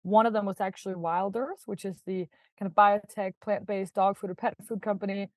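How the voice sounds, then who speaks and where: A woman's voice: medium pitch, steady tone, a little fast, dry recording. A woman from Denmark, a startup founder, chatting as a podcast guest, laughing often and filling her talk with "like" and "kind of". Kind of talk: casual podcast interview.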